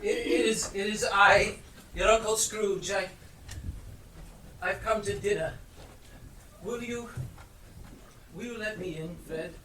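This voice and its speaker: A man's voice: sits high, fairly even intonation, takes it slowly, pauses often, pushed and bright, full character voice. A man acting an old reformed miser on an amateur stage, eager and apologetic, stammering effusively as he goes. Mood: shy, tender. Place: theatre stage, live performance. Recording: distant echoey live stage recording, faint noise.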